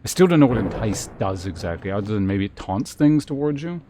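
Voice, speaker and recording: A man's voice: on the low side, animated, a little fast, dark tone, a little hiss or hum. A man casually commentating on a video game live, thinking aloud in a hesitant way as he chats with viewers.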